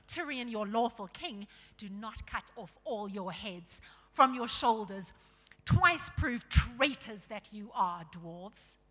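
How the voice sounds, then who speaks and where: Stern, forceful noble king acted by a woman. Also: medium pitch, expressive, slow pace, in a large hall, full character voice. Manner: formal, archaic, commanding. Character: proud, commanding young king, stern and noble.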